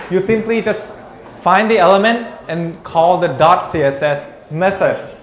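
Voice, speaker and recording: A male university lecturer teaching a class, teasing students and calling on them by name. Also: high-pitched. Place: lecture room. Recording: echoey lecture room.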